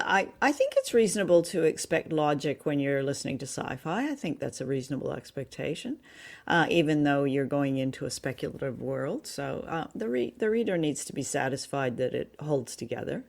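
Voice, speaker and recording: A woman's voice: low voice, creaky, darkish tone. A woman co-hosting a podcast, casually discussing and recapping a story. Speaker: podcast co-host.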